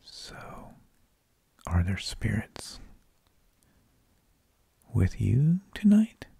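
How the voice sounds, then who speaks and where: A man whispering an ASMR narration in a hushed, breathy, gravelly voice, unhurried, with drawn-out phrases and pauses, close to the microphone. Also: lowish voice, wildly swooping pitch, no echo. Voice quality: breathy, mostly unvoiced whisper with gravelly creak. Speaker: ASMR host.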